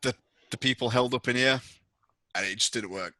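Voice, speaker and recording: A man's voice: medium pitch, a little fast, bright, forceful tone, no echo. A man narrating a tabletop roleplaying game as game master, describing scenes to players.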